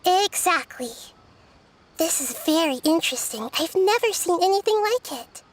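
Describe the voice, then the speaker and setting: Casting: childlike wise deity for anime game, high soft voice, calm, inquisitive, thoughtful explainer. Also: female voice, normal speed, slight background noise. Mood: intrigued. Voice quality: soft, youthful. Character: gentle, wise young girl deity of nature, calm and inquisitive.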